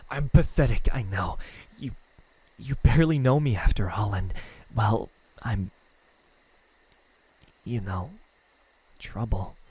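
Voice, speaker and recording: A man voicing a lonely, tender demon in love in an ASMR roleplay, speaking softly and haltingly, often near a whisper. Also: on the low side, exaggerated voice. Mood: hesitant, vulnerable. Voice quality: breathy, soft, half-whispered. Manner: hesitant, halting, stammering. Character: lovesick male demon, lonely, tender, self-doubting.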